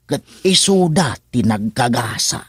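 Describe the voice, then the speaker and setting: A man's voice: mid-range voice, lively intonation, a little slow, husky, no echo, studio quality. An actor playing an older father who gives sympathetic, consoling counsel in a radio drama. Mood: concerned, consoling.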